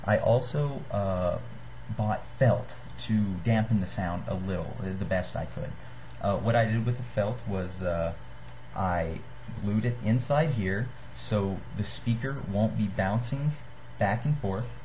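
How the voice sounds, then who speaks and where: A young man giving a casual how-to demonstration to a webcam in a small room, halting and apologetic. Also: low voice, a little mellow, a little hiss or hum. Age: young adult. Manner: halting, apologetic, self-deprecating. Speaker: hobbyist giving a homemade gadget tutorial. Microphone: webcam or computer microphone at desk distance.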